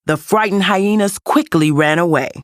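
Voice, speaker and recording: A man reading a children's story as narrator, in a deliberate and expressive scripted style. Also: high voice, no echo, clean studio sound.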